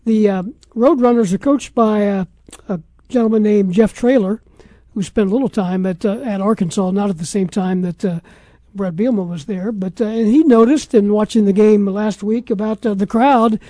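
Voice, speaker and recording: Mellow American man hosting sports radio. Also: sits high, fairly even intonation, normal speed, no echo. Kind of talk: casual sports talk. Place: radio studio.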